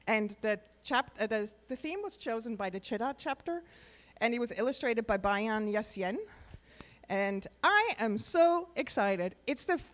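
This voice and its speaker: A woman hosting a live event, introducing a speaker on stage in an enthusiastic, chatty way. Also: a little high. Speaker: event host. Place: large venue on stage.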